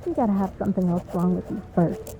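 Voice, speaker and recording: A woman's voice: mid-range voice, fast, mellow, a little hiss or hum, lightly acted. A woman playing a cheeky, smug female villain in a comedic audio roleplay, with breezy, sarcastic teasing. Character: cheeky, smug woman supervillain, frequent hospital patient.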